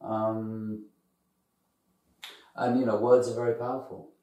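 A man co-hosting a podcast, talking in a reflective, halting conversational way with many pauses. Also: fairly low pitch, flat delivery, warm tone. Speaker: podcast co-host. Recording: echoey room, distant from mic.

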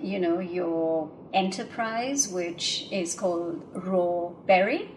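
A woman's voice: fairly low pitch, takes it slowly, a little hiss or hum, low-quality recording. A woman podcast host asking long, rambling interview questions full of fillers.

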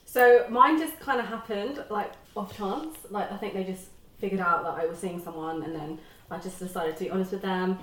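A woman in her mid-twenties calmly sharing a personal story in a casual chat. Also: mid-range voice, normal speed. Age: 20s. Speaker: guest. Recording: roomy, from a distance.

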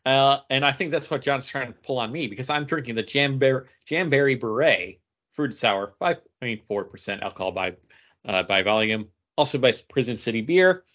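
A dull, slightly lo-fi recording of a man with a middle-pitched voice. He talks casually as a podcast co-host, in an everyday conversational style. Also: lively intonation, moderate pace, a bit bright. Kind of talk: casual chat.

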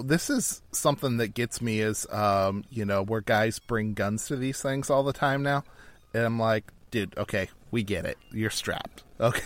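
A man co-hosting a podcast in casual, joking, informal banter. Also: medium pitch. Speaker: podcast co-host.

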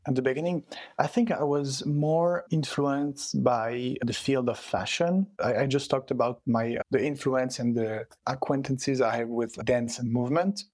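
A man's voice: mid-range voice, a little slow, a little mellow, dry recording, clean studio sound. A man from Switzerland whose first languages are French and Italian, speaking English with a Swiss French accent as a guest, often searching for words and restarting. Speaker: artist guest. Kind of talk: casual conversation.

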